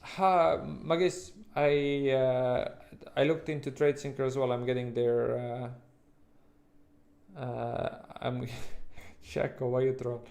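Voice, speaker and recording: Halting Estonian-accented man, livestream host. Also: mid-range voice, flat delivery, vocal fry, a little mellow. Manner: halting, rambling, informal, occasional swearing. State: sleep-deprived. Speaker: livestream host, futures trader. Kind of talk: casual livestream chat with viewers.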